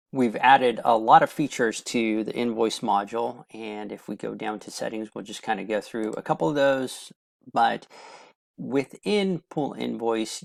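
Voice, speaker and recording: A man giving a plain, step-by-step software walkthrough as the presenter of a product demo. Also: medium pitch, gravelly, no echo, clean studio sound. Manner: plain step-by-step instructional delivery. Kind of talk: screen-share product walkthrough, semi-scripted explanation.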